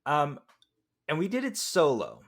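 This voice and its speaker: A man's voice: fairly high pitch, huge pitch swings, measured pace, creaky. A man hosting a podcast, talking casually about films. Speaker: podcast host. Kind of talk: casual film discussion.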